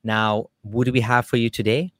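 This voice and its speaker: A man's voice: mid-range voice, little pitch movement, moderate pace, no room sound, clean studio sound. A man hosting a casual livestream interview, chatty and upbeat with plenty of fillers. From Kenya. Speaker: podcast host.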